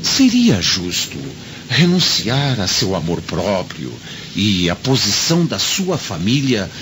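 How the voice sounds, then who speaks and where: A man's voice: on the low side, huge pitch swings, takes it slowly, full character voice. A Brazilian man playing a sceptical, practical Roman senator and friend in a radio drama, speaking in an emphatic, theatrical way. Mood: dismissive, warning. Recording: rain and thunderstorm noise behind.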